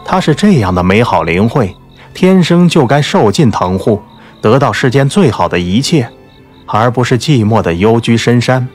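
A man's voice: medium pitch, animated, normal speed, soft background music. A man narrating a novel as a scripted literary audiobook.

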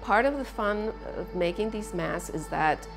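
A woman's voice: mid-range voice, animated, unhurried, vocal fry, over music. A woman from Taiwan, an artist talking reflectively about her own work in a documentary interview. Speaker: visual artist.

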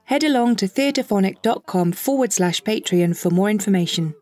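A woman reads a scripted podcast announcement. Her pitch is medium, and there is faint music behind her. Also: animated, brisk, mellow. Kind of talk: scripted promotional narration.

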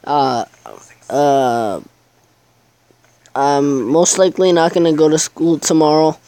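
A man's voice: a little high, steady tone, slow pace, mellow, dry recording. A teenage boy chatting casually over his gameplay video, rambling and hesitant, with many fillers. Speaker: gaming YouTuber.

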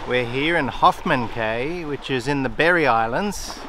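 A man's voice: medium pitch, unhurried, rough recording. A man in his forties, a sailing vlogger, giving casual running commentary from a sailboat deck into a handheld camera mic. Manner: excited, running commentary on the weather. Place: outdoors on a sailboat deck in a storm. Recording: loud wind and rain noise.

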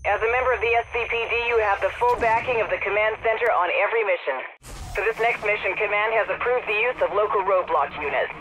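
An actor playing an authoritative police command officer in a video game, giving a formal, clipped briefing through a radio filter. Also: male voice, high-pitched, quick pace, noisy background. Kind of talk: scripted briefing narration. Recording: dull, band-limited radio-style sound.

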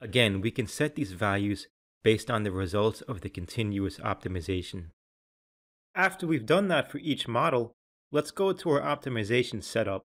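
A man reading scripted instructional narration in a measured, clearly enunciated, explanatory way. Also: fairly low pitch, expressive, fairly bright tone. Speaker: software tutorial narrator.

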